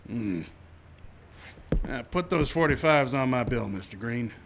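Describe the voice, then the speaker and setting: An actor playing a level-headed, skeptical frontier marshal in a live old time radio western. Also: male voice, mid-range voice, lively intonation, unhurried, creaky, a bit bright. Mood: dry, dismissive. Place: live show in a park. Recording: live outdoor stage, noisy background.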